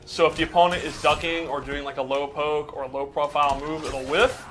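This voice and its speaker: A man's voice: on the high side, steady tone, brisk, fairly bright tone, faint music behind. A man giving a casual, explanatory breakdown in the style of gameplay commentary. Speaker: game commentator breaking down a character.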